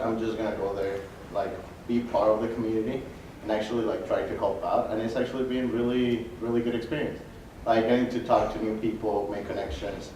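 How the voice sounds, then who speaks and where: Casual young man with a low voice. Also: warm tone. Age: young adult. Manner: casual, lots of "like". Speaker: student panelist. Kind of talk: panel talk. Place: hotel meeting room. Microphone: handheld mic. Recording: echoey room from far off, faint noise.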